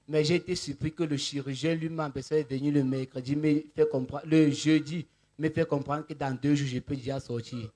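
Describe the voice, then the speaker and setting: A man giving a spontaneous personal testimony as a church member, narrating step by step with repeated linking words. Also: a little high.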